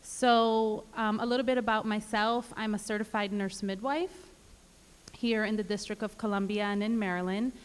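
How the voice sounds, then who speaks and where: A woman's voice: medium pitch, fairly even intonation, moderate pace, smooth. A woman from Puerto Rico, a nurse midwife, introducing herself in a friendly, personal way on a panel. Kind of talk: public panel talk. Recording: reverberant hall.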